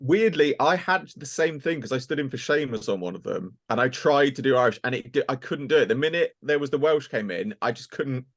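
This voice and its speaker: A man's voice: medium pitch, animated, fairly quick, hardly any pauses, dry recording. A man chatting casually as a voice actor on a podcast panel, joking and self-deprecating. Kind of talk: casual chat.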